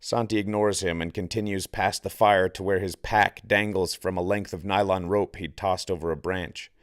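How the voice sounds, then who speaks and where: Low-voiced man narrating a novel, close and dry. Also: fairly even intonation, moderate pace, dry recording. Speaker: author reading own novel. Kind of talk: audiobook narration, scripted.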